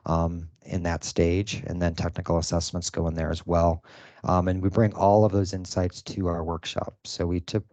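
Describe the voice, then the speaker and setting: A man giving a conference talk on his design process in a relaxed way, with many fillers. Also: low-pitched, no room sound. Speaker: design presenter and event host. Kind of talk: conference presentation. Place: event venue.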